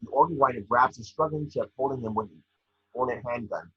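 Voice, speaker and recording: A man with a rough, husky voice reading scripted scene descriptions at a table read. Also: medium pitch, brisk, dull audio.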